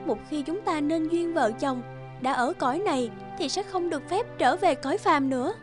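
An actress playing a gentle young fairy wife in an animated folktale, speaking tenderly and formally. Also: female voice, high-pitched, moderate pace, music in the background, natural voice. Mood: worried, warning.

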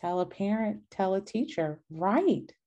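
A woman's voice: mid-range voice, expressive, unhurried, mellow, dull audio. A young adult woman leading a children's storytime, reading and talking in an encouraging way over a video call from a room at home. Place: small indoor room at home. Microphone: video call, laptop microphone.

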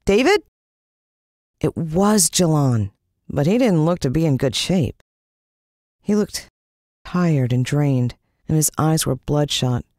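An American woman narrating a scripted children's adventure story in an expressive style. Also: low-pitched, takes it slowly, long pauses, dry recording, clean studio sound. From United States. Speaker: audiobook narrator.

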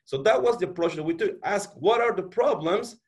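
A man's voice: high-pitched, fairly quick. A man in his thirties with a Mexican accent, presenting as a city planning official on a video call, emphatic and full of fillers and repeated words. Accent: Mexican Spanish. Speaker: city community development director. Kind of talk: conference panel presentation. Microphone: webcam on video call.

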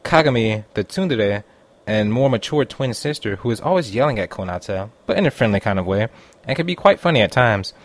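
A man's voice: on the low side, expressive, a little fast. A man reading a scripted review as an online critic, in voice-over. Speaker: anime reviewer.

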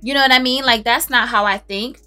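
A woman's voice: on the high side, brisk, bright, forceful tone, smooth, soft background music. A woman, chatting casually and emphatically while doing her makeup in a home closet. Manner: chatty, emphatic, self-assured, laughs often. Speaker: beauty vlogger. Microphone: camera on a stand, close.

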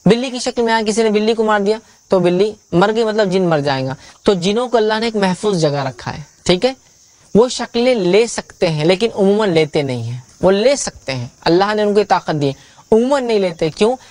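A man's voice: sits high, expressive, a little fast, darkish tone, no room sound. A middle-aged man giving a religious lecture, explaining a question through emphatic restatement and repetition on a studio stage. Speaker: Islamic preacher.

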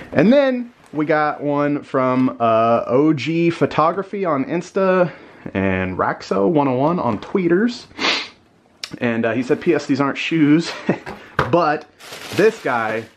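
A talkative man sits on a carpeted floor, opening packages and thanking the senders as he goes. His voice sits at an ordinary middle pitch, and he rambles into asides in a relaxed, everyday way. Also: slight background noise. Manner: chatty, grateful, rambling with asides. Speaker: gaming YouTuber unboxing fan mail. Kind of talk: casual unboxing chat.

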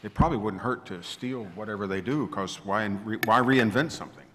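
A man's voice: on the low side, fairly quick, a bit bright. A middle-aged man speaking on a conference panel, thoughtful and digressive, restarting his sentences. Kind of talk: panel discussion. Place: large conference hall. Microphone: table microphone. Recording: reverberant conference hall.

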